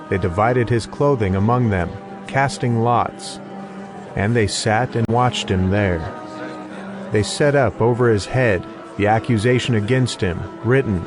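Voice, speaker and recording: A man with a fairly low voice reads scripture as a narrator. His delivery is scripted storytelling, and music plays clearly behind him. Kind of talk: scripted audio Bible narration.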